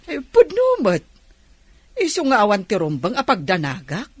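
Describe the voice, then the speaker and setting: A woman's voice: medium pitch, huge pitch swings, normal speed, breathy edge, no room sound. An actress with a Filipino accent playing a lonely older village woman who puts on a brave face in a radio drama. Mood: wistful, sighing.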